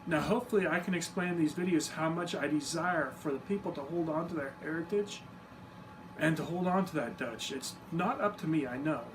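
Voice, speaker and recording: Male explainer, slightly high voice, relaxed and informal, recorded from a distance. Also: a little fast. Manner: easygoing, self-deprecating, informal asides. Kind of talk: casual explanatory talk to camera. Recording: distant mic with steady background noise.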